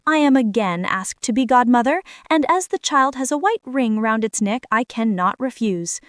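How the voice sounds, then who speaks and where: A sly, greedy she-cat from a storybook, played by a woman. The voice is high and lively, smooth-talking and brazen, recorded close and dry. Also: normal speed, no echo, natural voice. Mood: cool, brazen. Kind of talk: children's fairy-tale character voice.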